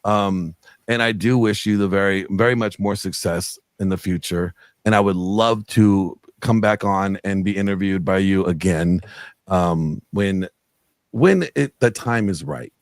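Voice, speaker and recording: Guest, middle-aged man, lowish voice, earnest and rambling, dry video-call sound. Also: unhurried, no room sound. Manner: earnest, rambling with many fillers. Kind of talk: casual interview chat.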